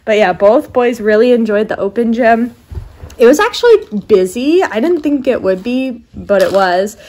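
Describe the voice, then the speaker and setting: A woman's voice: on the high side, expressive, normal speed, a little mellow. A young American woman chatting casually to her vlog as a mother, recorded at home in a living room on a handheld camera. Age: young adult. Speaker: vlogging mother of young children.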